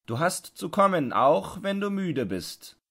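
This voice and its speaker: A man's voice: sits high, big pitch swings, unhurried, a bit bright, pitched above the performer's own voice, exaggerated voice. A man voicing a condescending, domineering dog owner with an angry temper in a dramatised storybook reading. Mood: stern threat. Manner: condescending, commanding, sharply insistent.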